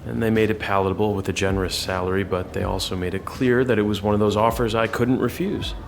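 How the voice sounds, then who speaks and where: An actor playing a confident, cagey hacker turned recruiter in an audio drama, speaking in a measured, self-assured and probing way. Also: male voice, fairly low pitch, steady tone, slight background noise, natural voice. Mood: musing. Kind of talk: full-cast audio drama dialogue.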